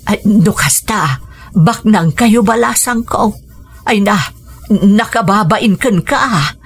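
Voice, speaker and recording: A woman playing a caring, humble older mother in a radio drama, gentle, hesitant and fretful in manner. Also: mid-range voice, takes it slowly, husky. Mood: humbled.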